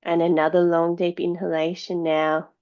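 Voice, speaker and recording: A woman yoga teacher guiding meditation and breathing in a measured, soothing way, leaving long pauses between phrases. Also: on the low side, flat delivery, darkish tone, clear, resonant tone. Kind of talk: guided yoga and meditation instruction. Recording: dull, slightly lo-fi sound.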